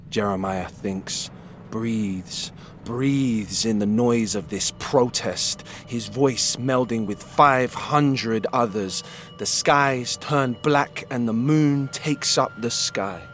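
A man narrating an audio drama intensely, sometimes dropping to a hushed whisper. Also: medium pitch.

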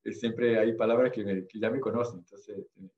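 A man's voice: lowish voice, fairly even intonation, a little fast, lo-fi sound. A man with a Brazilian accent and Portuguese as his first language, telling stories casually as a podcast guest and often searching for words. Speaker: podcast guest, amateur triathlete. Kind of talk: casual conversational storytelling.

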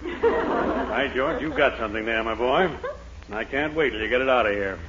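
An actor playing a blustery, pompous, shady older mayor in a 1940s radio sitcom. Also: male voice, medium pitch, big pitch swings, moderate pace, natural voice. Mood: blustering. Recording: noisy, muffled 1940s radio recording.

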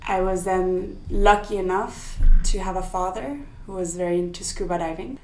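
Young woman from sweden, guest on a podcast, kinda low voice, lots of ums, echoey room. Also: moderate pace, darkish tone, a little hiss or hum. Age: young adult. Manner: thoughtful, many fillers and restarts. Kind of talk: casual interview.

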